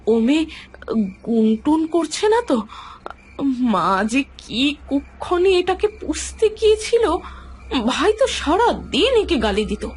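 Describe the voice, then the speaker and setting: An actress playing a hot-tempered, sharp-tongued wife and elder sister in an audio horror drama, with a forceful, scolding delivery. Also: female voice, high voice. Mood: fearful, resentful. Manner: scolding, demanding, colloquial. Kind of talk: Bengali audio horror story acting. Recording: background music under the voice.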